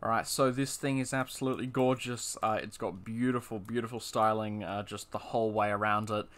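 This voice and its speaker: A man's voice: fairly low pitch, fairly even intonation, a little fast, fairly bright tone. A young man giving casual, enthusiastic commentary while reviewing a car in a video game, with lots of fillers and repeated words.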